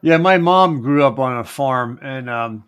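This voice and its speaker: A man from Pennsylvania with a light Pennsylvania accent, hosting a rambling, halting live chat. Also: mid-range voice, fairly bright tone, smooth, no echo. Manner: rambling, halting, many fillers and restarts. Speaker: host. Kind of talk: casual live-stream chat.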